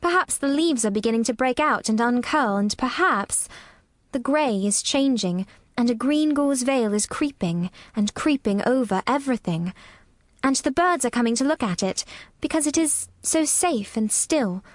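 A woman voicing an earnest young girl who speaks softly and slowly in a storybook reading. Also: high voice, expressive, no room sound, pitched above the performer's own voice. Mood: soothing. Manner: soft, slow, soothing storytelling. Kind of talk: audiobook character voice.

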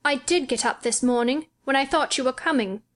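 A woman's voice: sits high, fairly quick, a bit bright, pitched above the performer's own voice. A woman voicing a spoilt, sickly, self-pitying young boy, petulant and imperious, in a storybook reading. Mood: sulky.

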